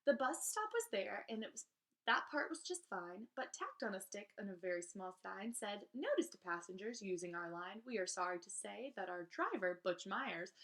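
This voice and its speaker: A woman's voice: mid-range voice, a bit bright. A woman reading children's verse aloud as a storybook host, in a theatrical, emphatic manner.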